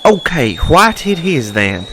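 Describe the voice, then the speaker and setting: A man's voice: a little high, very animated, unhurried, noisy background. An actor playing a prim, condescending male pastor in a radio drama.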